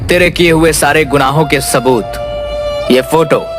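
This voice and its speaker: A man voicing a righteous village police inspector in an animated moral story, firm and resolute. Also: fairly high pitch, measured pace, close to an everyday voice. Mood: commanding. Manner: commanding, resolute. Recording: music behind the voice.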